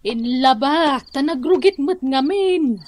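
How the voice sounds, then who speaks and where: Slow, plaintive, long-suffering mother. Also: sits high, expressive, darkish tone, slight background noise. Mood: apologetic, hurt. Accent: Filipino. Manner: plaintive, hesitant. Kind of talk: Ilocano radio drama acting.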